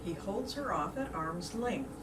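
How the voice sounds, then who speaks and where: A woman's voice: mid-range voice, animated, measured pace. A woman reading stage directions as a scripted narrator for reader's theater. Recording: roomy, distant mic, clearly noisy.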